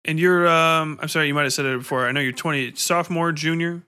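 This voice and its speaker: A man's voice: fairly high pitch, fairly even intonation, quick pace, bright, forceful tone, a little hiss or hum. A man in his mid-thirties with an American accent, chatting casually and in a rambling, informal way as an interview host. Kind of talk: casual chat.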